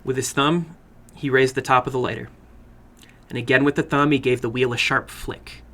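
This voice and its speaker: A man narrating a horror story dramatically, in a deliberate, suspenseful manner. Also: mid-range voice, fairly even intonation, pushed and bright. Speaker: horror fiction reader and host.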